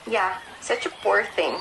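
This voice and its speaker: Creaky female voice, woman as dismissive party-girl neighbour, mocking, radio play, noisy birdsong background. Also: mid-range voice, animated, slow. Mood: scornful. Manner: condescending, mocking. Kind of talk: student radio drama acting. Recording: noisy track with birdsong behind.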